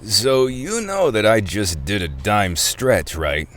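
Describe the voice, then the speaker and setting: A man's voice: fairly low pitch, animated, takes it slowly, fairly bright tone, slight background noise. An actor playing a world-weary, folksy thief in his seventies, wry, hesitant and slangy, in an audio drama. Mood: wry, skeptical. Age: 70s. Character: world-weary 75-year-old male ex-convict thief, folksy, skeptical.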